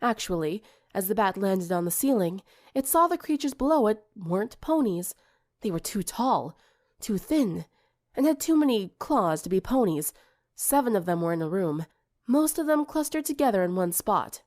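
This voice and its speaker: Woman narrating a story, medium pitch. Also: sing-song, moderate pace, a little mellow. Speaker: audiobook reader.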